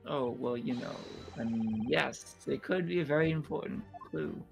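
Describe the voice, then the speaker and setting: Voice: medium pitch, moderate pace, gravelly, faint music behind, natural voice. A player voicing an earnest, polite young male defense lawyer who speaks hesitantly and formally, in a fan dub of a game.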